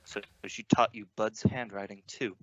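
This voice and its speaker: A man playing a suspicious, menacing stepfather in an old-time radio suspense play, speaking in a deliberate, insistent way. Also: lowish voice, steady tone, sharp tone, a little hiss or hum, low-quality recording. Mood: accusing, menacing. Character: sinister, suspicious murderous stepfather, smugly menacing.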